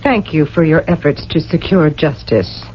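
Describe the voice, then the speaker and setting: A coolly authoritative official in an old radio play. The delivery is formal, measured and impassive. The sound is dull, with faint noise behind it. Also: female voice, deep voice, expressive. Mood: cool, stern. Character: coolly authoritative tribunal official in future society. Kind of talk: 1980 radio drama acting.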